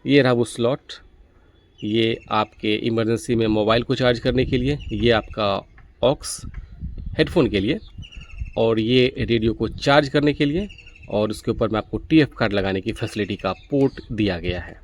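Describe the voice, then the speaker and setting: A man's voice: mid-range voice, moderate pace, a little hiss or hum. A man hosting a gadget review, explaining things methodically, step by step. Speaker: gadget reviewer, video host. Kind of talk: product review walkthrough, explanatory.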